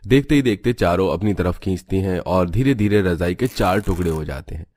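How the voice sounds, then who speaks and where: Male storyteller for animated family tale, lowish voice, scripted narration. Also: a little fast, no echo.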